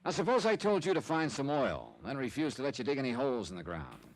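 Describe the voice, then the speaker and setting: A man's voice: mid-range voice, sing-song, quick pace, no echo, poor audio. An actor playing a wry, sardonic investigator who narrates his case in a clipped old-time radio detective style. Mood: needling. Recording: vintage radio recording with music.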